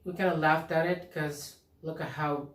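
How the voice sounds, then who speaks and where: A man from the Philippines co-hosting a casual couple's vlog, talking in a halting, easygoing way and laughing often. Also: on the high side, fairly even intonation, fairly bright tone. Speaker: vlog co-host. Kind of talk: casual chat. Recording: echoey room, mic at a distance.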